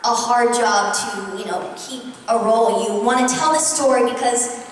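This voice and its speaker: High-voiced young girl, earnest panelist. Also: fairly even intonation, moderate pace, far from the mic. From Atlanta, Georgia, raised in New York. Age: teen. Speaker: child actress panelist. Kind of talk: panel answers. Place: large convention hall. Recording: echoey hall from afar, crowd noise. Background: crowd noise.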